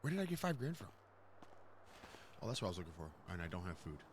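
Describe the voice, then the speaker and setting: A man's voice: sits low, very animated, fast talker, slight background noise. A man who streams games, talking casually and swearing as he mutters along in roleplay. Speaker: game streamer.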